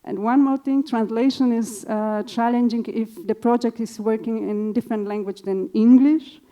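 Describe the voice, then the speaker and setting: A woman whose native language is Polish, speaking as an evaluation consultant on a panel, measured and thoughtful with frequent pauses. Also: on the high side, fairly even intonation, dark tone. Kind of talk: panel discussion answer.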